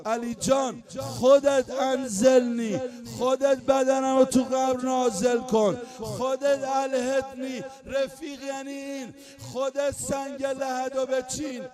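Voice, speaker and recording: A man's voice: extremely high pitch, level tone, music in the background. A male religious eulogist delivering a chanted mourning sermon, emotional and wailing, sliding into half-sung lament.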